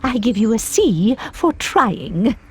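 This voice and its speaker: A woman voicing a formal, strict but kindly witch professor in a video game, instructing deliberately in a husky voice. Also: fairly high pitch, very animated, heavily acted. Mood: measured approval. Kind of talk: video game character acting.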